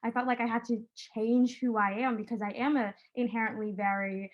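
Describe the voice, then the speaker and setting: A teenage girl moderates a casual panel in a naturally high, chatty voice with plenty of fillers. The recording sounds slightly dull and lo-fi. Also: little pitch movement, a little fast. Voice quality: high-pitched voice. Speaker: student moderator.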